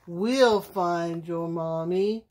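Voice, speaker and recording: A woman's voice: on the high side, very animated, slow pace, full character voice. A woman voicing a misunderstood male wolf in a children's storybook, earnest, easily hurt and emphatic. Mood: soothing.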